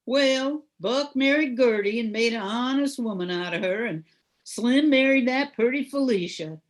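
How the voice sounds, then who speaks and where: A woman's voice: fairly high pitch, unhurried. An older woman reading scripted narration for an amateur old-time radio comedy.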